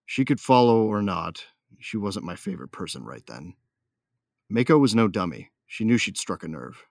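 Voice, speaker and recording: An actor playing a hard-bitten, vengeful bounty hunter who narrates an audio drama in a gruff, sardonic, slangy way. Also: male voice, on the low side, sing-song, normal speed, no echo, studio quality, full character voice. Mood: grim, sardonic.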